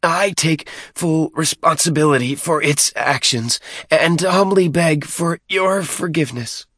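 Little male alien deacon for an audiobook, played by a man: pitched high, strained squeal, servile, anxious, overly mannered, pleading. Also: a little slow, a bit bright, slightly rough, no echo, studio quality. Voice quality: muffled squeal.

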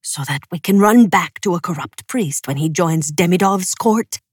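A woman's voice: on the low side, expressive, normal speed, slightly rough, no room sound, studio quality. A woman voicing a ruthless male preacher from a storybook, declaiming firm and commanding.

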